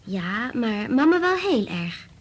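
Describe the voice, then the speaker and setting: Husky-edged, high, lively young girl. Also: takes it slowly, heavily acted. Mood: earnest, confiding. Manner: childlike, earnest phrasing. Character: bright, chatty young girl, eager and trusting. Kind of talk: radio drama acting.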